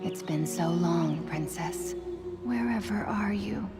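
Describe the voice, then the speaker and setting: A woman voicing a character who longs for a lost princess, speaking softly and wistfully in a game cutscene. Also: lowish voice, takes it slowly, over loud music. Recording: distant voice under loud game score.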